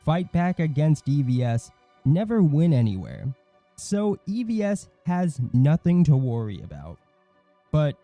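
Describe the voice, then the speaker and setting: A man's voice: mid-range voice, dark tone, dry recording. A man reading a scripted commentary as the host of an online video.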